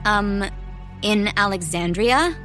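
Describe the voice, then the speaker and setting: A woman's voice: medium pitch, expressive, takes it slowly, sharp tone, soft background music. A young woman acting a proud, curt teenage squire in an audio drama, brusque and defensive. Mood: puzzled.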